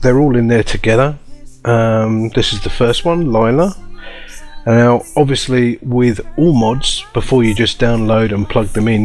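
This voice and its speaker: A man hosting a casual showcase of game mods in a chatty, informal way over background music. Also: mid-range voice. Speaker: video host.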